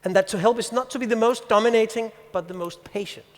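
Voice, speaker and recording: Danish man, 50s, high voice, conference speaker, storytelling delivery, reverberant hall. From Denmark. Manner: storytelling, reads a passage aloud with pauses. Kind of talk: presentation to an audience.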